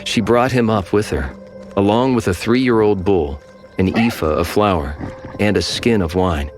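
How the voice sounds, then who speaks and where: A man with a very deep voice narrates scripture in a steady, even way. Soft music runs underneath the studio recording. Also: a little slow.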